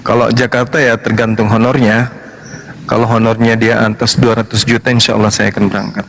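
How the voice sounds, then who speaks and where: A man's voice: fairly low pitch, flat delivery, brisk, a bit bright, a little hiss or hum. Teasing man in casual banter. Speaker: co-host of a religious debate stream. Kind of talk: casual joking chat.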